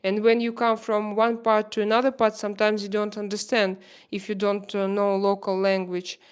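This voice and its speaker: A woman from Russia with a Russian accent, hosting a casual livestream chat, talking haltingly with many fillers and frequent laughter. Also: mid-range voice, monotone, clean tone. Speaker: livestream host.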